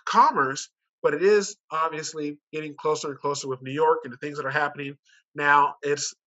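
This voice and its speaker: A man's voice: fairly high pitch, fairly even intonation, normal speed, sharp tone. A man giving an informal, persuasive sales presentation at an online conference, conversational and fond of rhetorical questions, speaking over a video call. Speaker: company director giving a sales pitch. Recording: dull, muffled video call audio.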